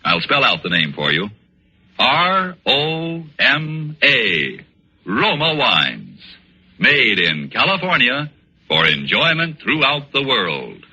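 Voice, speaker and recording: A man's voice: medium pitch, wildly swooping pitch, slow pace, pushed and bright, breathy edge. A man announcing a 1940s radio commercial with a polished, emphatic sales delivery. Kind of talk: 1940s radio advertisement read. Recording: muffled vintage 1940s radio recording.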